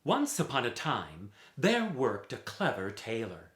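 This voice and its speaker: Theatrical male storyteller with very lively pitch. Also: roomy sound. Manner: dramatic, rhythmic with drawn-out repetitions. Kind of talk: theatrical folk-tale storytelling to listeners.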